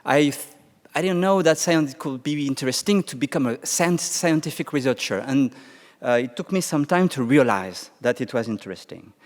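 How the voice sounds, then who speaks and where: A man's voice: mid-range voice, animated, normal speed, big-room sound. A man with a French accent, a scientist giving a conference panel talk, hesitant and full of restarts. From France. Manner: hesitant, many restarts and fillers. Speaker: panel speaker, Mars climate scientist. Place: conference hall.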